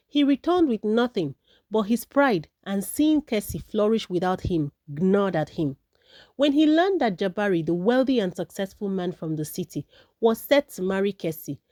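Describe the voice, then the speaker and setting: A woman's voice: mid-range voice, dark tone, no echo, clean studio sound. A woman narrating a folktale from a script as a storyteller.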